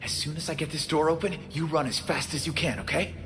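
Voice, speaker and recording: An actor playing a quippy, sarcastic young masked superhero in a video game, with scripted delivery. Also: male voice, fairly high pitch, steady tone, quick pace, background noise, close to an everyday voice. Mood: determined. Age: young adult. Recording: loud music behind the voice.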